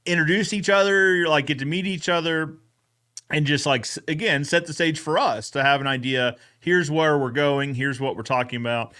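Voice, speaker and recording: A man's voice: a little high, moderate pace, bright, forceful tone. A man hosting a casual podcast recap, chatting in an upbeat, informal and colloquial way. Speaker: show host, fence expert.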